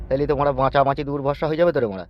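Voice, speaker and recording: A man's voice: medium pitch, racing, lo-fi sound, natural voice. A man voicing a poor, weary, indignant village father in a comic cartoon, exclaiming and lamenting. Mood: aggrieved, lamenting. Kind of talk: comic cartoon dialogue in rural dialect.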